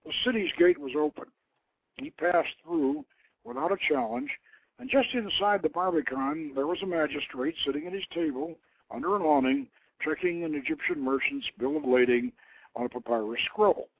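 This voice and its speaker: A man reading a scripted story in a stately way, in short measured phrases with the odd stumble. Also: fairly high pitch, gravelly, husky, no echo. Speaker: host reading his own novelette. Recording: thin phone-like internet radio line.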